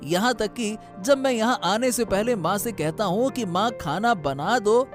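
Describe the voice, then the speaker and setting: A man's voice: sits high, animated, a little slow. A man plays a harried courtier son in a cartoon moral tale. He fusses and complains in a plaintive voice, with music playing underneath. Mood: deferential, aggrieved. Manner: plaintive, fussing. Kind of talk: Hindi cartoon moral-story dubbing.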